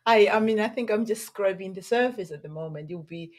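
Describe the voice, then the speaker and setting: A soft-voiced woman, a nutritionist, chatting as a podcast guest, animated and quick to laugh, often restarting her sentences. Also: medium pitch. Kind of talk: casual interview chat.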